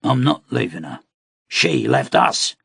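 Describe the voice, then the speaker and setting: A man voicing a gruff angry cavalry commander. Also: medium pitch, wildly swooping pitch, slow pace, a bit bright, no room sound, clean studio sound, exaggerated voice. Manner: gruff, clipped. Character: hardened male cavalry commander, angry giant.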